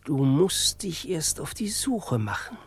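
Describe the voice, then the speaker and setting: Radio play wizard: exasperated, grandiose, theatrical, slow and portentous, close dry sound. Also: male voice, on the high side, very animated, husky, no echo, exaggerated voice. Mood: solemn, portentous. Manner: theatrical, grumbling, solemnly prophetic.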